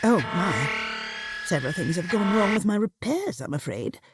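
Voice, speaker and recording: A woman playing a brisk, patronising, eccentric alien time traveller in an audio drama, with formal, breezy phrasing. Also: fairly low pitch, big pitch swings, over loud music. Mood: breezy, concerned. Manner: condescending, breezy, formal wording. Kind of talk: fan-made audio drama acting.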